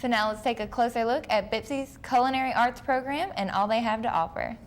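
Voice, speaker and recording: A woman's voice: fairly high pitch, fairly quick. Upbeat young woman anchoring student news. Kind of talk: read news announcements. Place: college TV studio.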